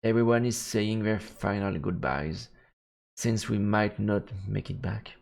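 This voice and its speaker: Low-voiced man reading aloud, slightly creaky. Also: measured pace, a little mellow. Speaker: streamer playing and reading a visual novel. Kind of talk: narrated read-aloud with casual reactions.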